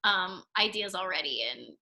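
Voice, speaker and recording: A woman's voice: fairly low pitch, animated, normal speed, vocal fry, bright, forceful tone. A woman in a casual group discussion, hesitantly thinking aloud as a cast member giving notes.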